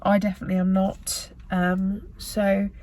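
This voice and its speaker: A young British woman talks to her camera in a loose, rambling vlog style. Her voice is croaky and worn from tiredness, and she drifts through fillers and restarts. A faint hiss of background noise sits under her speech. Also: medium pitch, flat delivery, a little slow, warm tone. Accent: British English. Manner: rambling, filler-heavy, self-correcting. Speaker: vlogger. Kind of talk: casual chatty vlog talk to camera.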